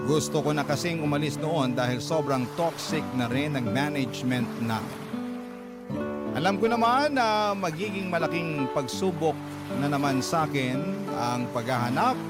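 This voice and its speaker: Filipino man narrating over loud music. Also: medium pitch. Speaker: radio drama narrator. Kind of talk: scripted first-person storytelling of a letter.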